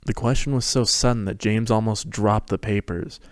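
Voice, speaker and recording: A man's voice: fairly low pitch, fairly even intonation, moderate pace, no room sound, clean studio sound. A man narrating a fan fiction story as a scripted dramatic reading.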